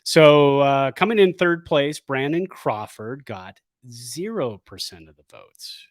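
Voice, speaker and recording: Animated man chatting on a sports podcast. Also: fairly high pitch, no room sound, clean studio sound. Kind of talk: casual chat.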